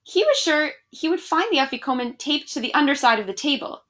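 A woman's voice: sits high, lively intonation, a little fast, a bit bright. A woman, reading and chatting expressively to young listeners as for an online storytime. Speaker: education director reading picture books online to children. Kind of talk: children's storybook read-aloud and friendly chat to viewers. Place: small room at home, indoors.

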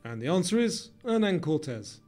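Man, slightly high pitch, scripted quiz narration, measured, formal, light music behind. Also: big pitch swings, a little mellow. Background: background music.

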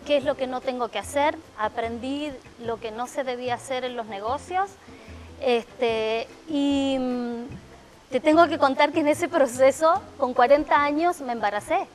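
Middle-aged woman, high voice. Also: normal speed, soft background music. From Argentina (Mendoza). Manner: reflective, storytelling, frequent fillers. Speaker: guest, salon owner. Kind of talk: television interview. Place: TV studio living-room set.

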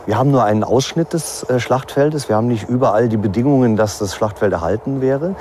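A man's voice: mid-range voice, fairly quick, a little mellow, slight background noise. A man giving an unscripted expert explanation in an interview.

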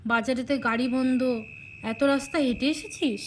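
A woman voicing a middle-aged village mother in a cartoon story, concerned and gently scolding. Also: sits high, fairly even intonation, moderate pace, a little hiss or hum, natural voice.

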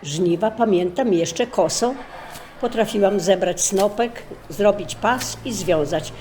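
Dark-voiced older woman reminiscing. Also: mid-range voice, slow pace, slight background noise. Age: 60s or older. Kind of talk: casual reminiscence.

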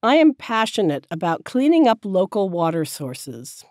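A woman teacher reading short sentences slowly and clearly, each one said several times for learners to repeat. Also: medium pitch, sing-song, a little mellow, dry recording, clean studio sound. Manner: careful, clear enunciation. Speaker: English teacher. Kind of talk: slow repeated drill sentences.

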